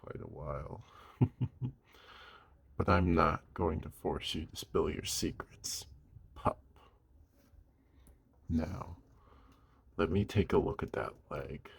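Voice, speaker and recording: A man voicing a gruff but caring werewolf of the woods in an audio roleplay, speaking gently and protectively to the listener in a gravelly, husky voice. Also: very deep voice, lively intonation, slow pace, long pauses, a little hiss or hum, exaggerated voice. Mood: sheepish, teasing.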